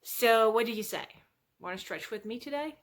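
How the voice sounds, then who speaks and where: A woman's voice: on the high side, animated, normal speed. A woman hosting a fitness vlog, chatting casually and playfully to viewers with self-deprecating humour.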